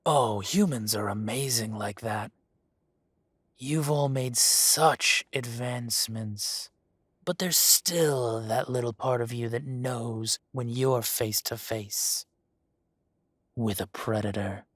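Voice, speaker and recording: A man voicing a male dragon who poses as a mafia boss, speaking with slow, purring, mocking menace. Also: mid-range voice, husky, no echo, studio quality. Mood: silky menace. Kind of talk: roleplay audio drama.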